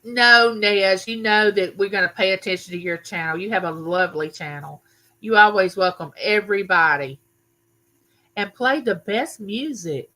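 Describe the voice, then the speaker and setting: Older woman, livestream host; loud, bright, sharp-edged voice, chatty and welcoming by name. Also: mid-range voice, normal speed. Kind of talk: casual live chat with viewers. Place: home room used for filming.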